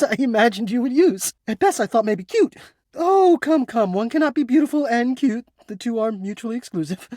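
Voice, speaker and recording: A man's voice: high voice, a little mellow, no room sound, studio quality. An actor playing a shy, insecure, lovestruck male recluse in a video game, speaking hesitantly with stammers and formal, genteel phrasing. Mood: flustered, delighted. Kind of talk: expressive video game voice acting.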